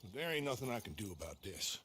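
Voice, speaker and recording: An actor playing a folksy, apologetic sheriff with an American Western drawl in a game cutscene. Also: male voice, on the low side, sing-song, fast, bright, forceful tone, a little hiss or hum. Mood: reluctant. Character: reluctant, apologetic Old West sheriff. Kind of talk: video game cutscene acting.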